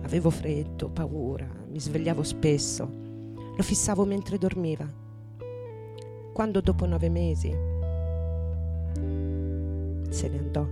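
A middle-aged rock singer in mourning, her voice on the low side. She confides her losses in a reflective, deliberate radio drama monologue, with clear music playing behind her. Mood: wistful, sorrowful. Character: grieving middle-aged female rock singer recalling lost loves.

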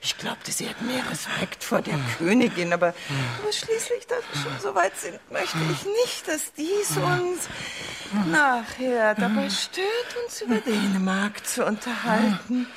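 An actress playing a seductive, commanding queen in a radio play, coaxing and teasing with breathy asides. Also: on the high side, very animated, a little slow, crowd noise. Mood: coquettish, urging. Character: seductive, capricious, commanding queen, flirting with a visitor. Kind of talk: German radio play acting.

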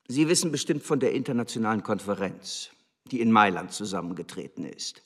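A scornful male embassy official in a radio drama. His low voice is husky, and he lectures with haughty, sneering contempt. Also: lively intonation, a little fast. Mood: haughty, lecturing. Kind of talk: German radio drama acting.

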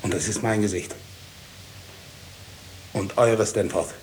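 A man's voice: fairly low pitch, fairly even intonation, darkish tone, poor audio. An actor playing an anguished, defiant man raging against a court, declaiming in 1950s German radio-drama style. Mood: grim, foreboding. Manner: slow, declamatory. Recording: hissy 1950s radio recording.

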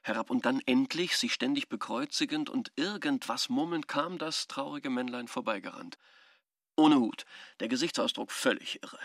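A man's voice: medium pitch, moderate pace, no echo, clean studio sound, natural voice. An actor playing a shrewd, nosy man thinking aloud as he eavesdrops, musing in clipped phrases in a radio drama. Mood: tense, watchful. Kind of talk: radio drama interior monologue.

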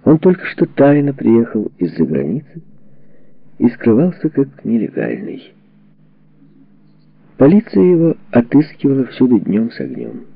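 A man reading a memoir as a scripted audiobook narration, with measured, dramatic phrasing and expressive delivery. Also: mid-range voice, vocal fry, no room sound. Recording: dull, muffled lo-fi audio.

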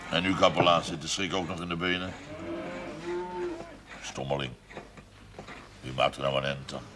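An actor playing a gruff, stubborn but soft-hearted older husband in a television period drama, gravelly, blunt and halting. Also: male voice, extremely low pitch, steady tone. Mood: gruff defiance. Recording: noisy old television soundtrack.

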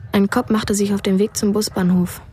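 Flat-toned young boy narrator played by a woman. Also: medium pitch, normal speed, a little hiss or hum, natural voice. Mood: numb, observing. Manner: flat, matter-of-fact. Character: ten-year-old American boy, numb and watchful, remembering. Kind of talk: scripted radio drama narration.

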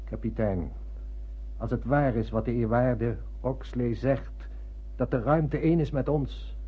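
An actor playing a sober, dutiful ship's officer in a radio play, formal and earnest, with a husky voice. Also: male voice, medium pitch, unhurried. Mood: earnest, pleading. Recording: thin muffled phone-like radio play recording.

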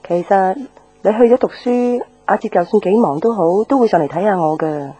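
Actress as a mellow, caring Cantonese mother. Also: mid-range voice, normal speed, husky, lightly acted. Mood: caring, chatty. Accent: Hong Kong Cantonese. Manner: homely, gently nagging. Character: caring, thrifty older Hong Kong mother, gently nagging. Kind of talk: Cantonese radio drama acting.

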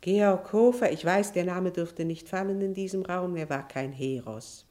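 An actress playing a proud, embittered estranged wife and mother in a German radio drama, speaking in a deliberate, theatrical, eloquent way. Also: on the low side, mellow, lightly acted. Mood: bitter, intense.

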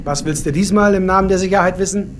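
An actor playing a tired off-duty police officer in a radio drama, casual and hesitant. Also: male voice, high voice, steady tone, fast talker, background noise, lightly acted. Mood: hesitant, puzzled.

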